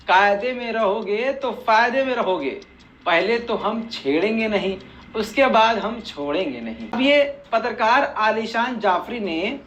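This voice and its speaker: A man's voice: high-pitched, fairly bright tone, lo-fi sound. A man hosting scripted news commentary in a pointed, sarcastic way, addressing his viewers directly.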